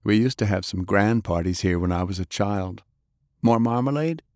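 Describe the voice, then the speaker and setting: A man voicing a courteous, genteel young gentleman of wealth in an audiobook. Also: fairly low pitch, normal speed, no echo, clean studio sound, lightly acted. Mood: genial.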